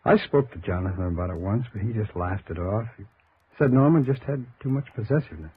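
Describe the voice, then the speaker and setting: A man's voice: on the low side, lively intonation, quick pace, husky. A male actor playing a dejected, polite nephew in a 1950s radio drama, earnest and subdued. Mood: uneasy, earnest. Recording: tinny, noisy vintage radio recording.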